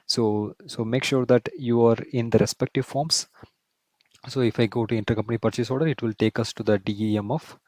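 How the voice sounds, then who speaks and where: A man presenting a screen-share software tutorial, explaining step by step and often repeating himself. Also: mid-range voice, fairly even intonation, fairly quick, no room sound. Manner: step-by-step, repetitive explaining, often checks with "right?".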